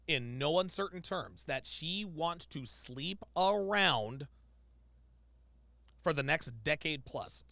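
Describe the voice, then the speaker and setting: A man's voice: fairly high pitch, sharp tone, dry recording. A man streaming live, reacting to a clip with emphatic, repetitive ranting commentary.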